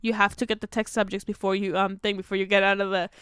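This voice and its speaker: A woman's voice: mid-range voice, quick pace, smooth, no room sound. Casual woman chatting on a podcast, dry sound. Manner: animated, sweary, slangy storytelling. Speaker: podcast co-host. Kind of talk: casual chat retelling a movie.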